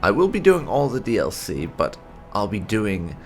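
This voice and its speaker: A man hosting a gaming let's play, talking casually in deliberate, halting phrases. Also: on the low side, huge pitch swings, soft background music. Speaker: host of a gaming channel.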